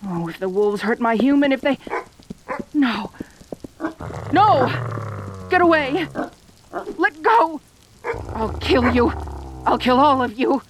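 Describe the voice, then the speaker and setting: A woman's voice: high voice, expressive, slow, long pauses, music in the background. A woman playing a lonely, possessive centaur girl in an intimate ASMR roleplay, tender and anxious, with a hesitant, pleading manner. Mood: desperate fury.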